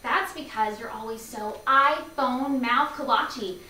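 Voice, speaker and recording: In a very echoey space, distant from the mic, a woman plays a bubbly young woman with a bright voice. She teases and coaxes with playful emphasis, as in a live play reading. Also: on the high side, takes it slowly, slight background noise. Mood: teasing, reassuring. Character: bubbly, teasing, persuasive young woman, a kolache enthusiast. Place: live staged reading in a room.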